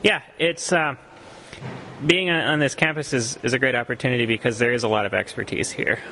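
A man's voice: mid-range voice, a little fast, bright, forceful tone, slight background noise, rough recording. A young man from Costa Rica, an exchange student answering radio interview questions thoughtfully, with frequent ums and restarts. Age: young adult.

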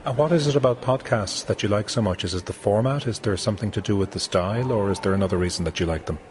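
Quick-talking radio host guy, lowish voice, bit of hiss. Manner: brisk, steady questioning. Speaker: radio presenter. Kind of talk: radio interview.

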